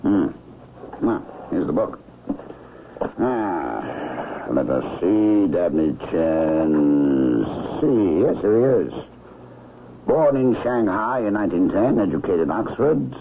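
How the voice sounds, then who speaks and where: Deep male voice, genial inquisitive doctor, musing manner, radio drama, narrow phone-like sound, hiss. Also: very animated, slow pace, full character voice. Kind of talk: old-time radio drama acting. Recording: muffled, hissy old radio broadcast.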